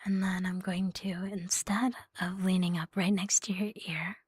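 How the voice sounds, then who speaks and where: A woman's voice: fairly low pitch, level tone, moderate pace, darkish tone, slightly rough, no room sound. A woman whispering breathily in an intimate, soothing ASMR roleplay as a catgirl girlfriend, very close to the microphone. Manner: mostly whispering, close and soothing, lingering on repeated words. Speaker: ASMR performer.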